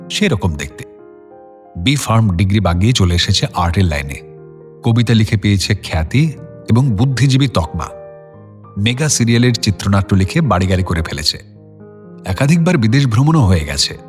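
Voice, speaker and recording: Low-voiced male story narrator. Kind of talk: scripted audio-story narration.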